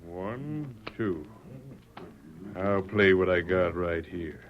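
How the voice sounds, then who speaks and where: An actor playing a cocky frontier card sharp in a radio western, with an American accent. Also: male voice, low-pitched, animated, very slow, heavily acted. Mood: smug. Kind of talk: 1940s radio western acting. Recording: muffled old radio recording, crowd behind.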